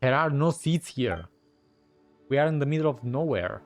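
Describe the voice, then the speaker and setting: A man's voice: mid-range voice, lively intonation, normal speed. A man giving casual, off-the-cuff reaction commentary as a gaming content creator. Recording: faint game music behind. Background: video game music.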